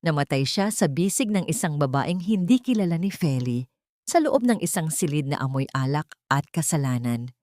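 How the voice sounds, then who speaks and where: A woman narrates a scripted romantic drama in a slightly low voice. Her delivery is solemn and melodramatic, with carefully placed phrases. The recording is dry, free of room sound. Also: expressive, moderate pace, a little mellow, dry recording. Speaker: story narrator.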